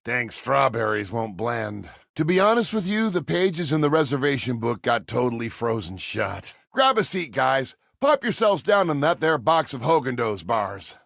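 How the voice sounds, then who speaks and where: A sweet homespun ice cream server from a game, creaky voice, super animated, wants to please. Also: male voice, medium pitch, moderate pace, hardly any pauses, no room sound, studio quality, heavily acted. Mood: cheery, welcoming. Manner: folksy, eager, expressive, homespun exclamations. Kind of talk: scripted video game character dialogue.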